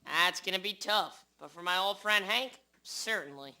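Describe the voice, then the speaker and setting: Genial small-shop tailor male actor, higher and very sharp voice, hobby radio drama, rough sound. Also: normal speed, heavily acted. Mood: intrigued. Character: genial male shopkeeper tailor, detective's old friend. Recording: rough amateur recording.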